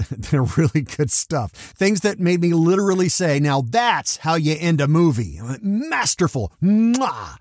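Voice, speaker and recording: A man delivers scripted podcast commentary in a highly animated voice that sits a bit high. His delivery is playful and theatrical, with punchy emphasis. The sound is very dry, with no room around it. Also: measured pace, dry recording. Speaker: podcast host.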